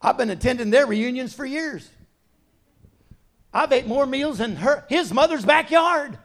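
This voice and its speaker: A middle-aged man preaching a sermon in a conversational way, dropping to quiet asides and rising to loud, emphatic points. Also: very high-pitched, animated, a little slow. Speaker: pastor.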